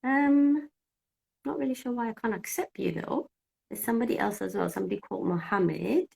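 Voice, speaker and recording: A woman's voice: mid-range voice, a little fast, creaky, dark tone. A woman from Cyprus whose first language is Greek, an English teacher hosting a casual live-stream chat and thinking aloud as she sorts out technical trouble. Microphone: phone.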